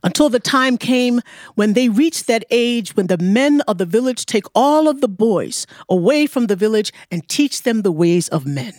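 A woman telling a folktale aloud as a storyteller, in measured, deliberate phrases. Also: fairly high pitch, animated, a little mellow, no room sound, clean studio sound. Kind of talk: live oral storytelling of a folktale. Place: performance studio before an audience.

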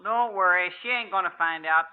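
High voice, comic ranch hand, played by a male actor, folksy, cocky, 1950s radio comedy, tinny narrow-band recording. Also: fairly quick, clear, resonant tone, lightly acted. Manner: stammering, folksy, boastful. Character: slick, cocky comic cowboy ranch hand caught stealing. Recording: tinny band-limited old radio broadcast.

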